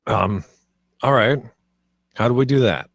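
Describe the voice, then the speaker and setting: A man playing a reasonable twenty-eight-year-old with a hesitant, deadpan delivery in an animated comedy table read. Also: medium pitch, measured pace, no echo. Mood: puzzled.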